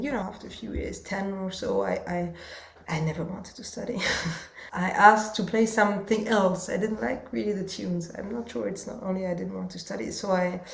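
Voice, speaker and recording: Woman, gravelly voice, halting speech, roomy echo. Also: medium pitch, darkish tone, a little hiss or hum. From Germany. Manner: halting, searching for words, frequent restarts. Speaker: guest musician and teacher. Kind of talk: casual interview conversation. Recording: echoey room.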